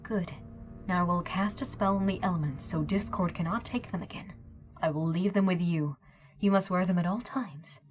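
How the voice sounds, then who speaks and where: A woman's voice: fairly low pitch, lightly acted. Woman acting a grave, regal pony princess, music behind. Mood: firm, commanding. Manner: measured, solemn. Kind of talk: audio drama acting.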